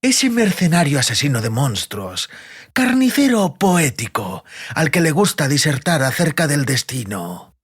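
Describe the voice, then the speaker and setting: Man playing a slow, sneering villainous interrogator. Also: on the high side, sing-song, breathy edge, exaggerated voice. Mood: stern questioning. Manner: deliberate, sneering, threatening. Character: sadistic male interrogator, mocking, coldly menacing villain.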